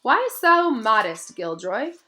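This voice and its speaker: A woman voicing a kindly old male wizard headmaster in a storybook, speaking softly, thoughtfully and with measure. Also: fairly high pitch, very animated, measured pace, heavily acted. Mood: wry, kindly. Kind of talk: audiobook-style character reading. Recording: music behind the voice.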